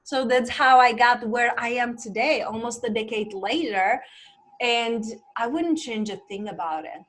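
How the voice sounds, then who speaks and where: A woman in her thirties with a Czech accent, talking with a fairly high voice. She opens up earnestly and confessionally, leaning on fillers as she tells her own story in an interview. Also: measured pace, a bit bright. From Czech Republic. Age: 30s. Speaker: guest, life coach.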